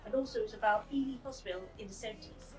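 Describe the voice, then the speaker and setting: A woman's voice: fairly high pitch, fast, darkish tone. A woman in her late thirties giving unscripted interview answers outdoors. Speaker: actor. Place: outdoors on a sea front. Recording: distant mic, faint music behind.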